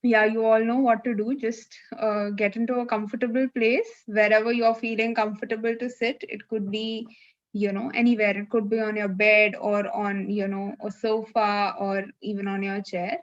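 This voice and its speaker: A woman leading a live guided meditation, giving gentle, unhurried instructions with long pauses, over a video call. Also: mid-range voice, flat delivery, dull audio.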